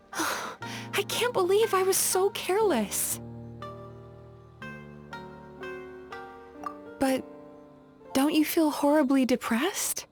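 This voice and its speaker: A woman voicing a gentle, polite young female dream-eating spirit in a video game, earnest and soft-mannered. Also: high voice, animated, slow pace. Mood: apologetic, dismayed. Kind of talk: video game character dialogue. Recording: music behind the voice.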